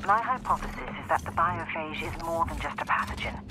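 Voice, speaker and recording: An actor playing a regretful male scientist narrating his own recorded game logs in a grave, measured way. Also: a little high, animated, loud music behind the voice. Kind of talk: video game voice acting.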